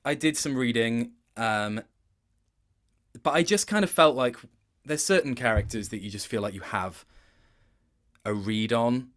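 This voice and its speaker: A man giving a casual interview as a voice actor, thoughtful and halting, often interrupting himself. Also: mid-range voice, fairly bright tone.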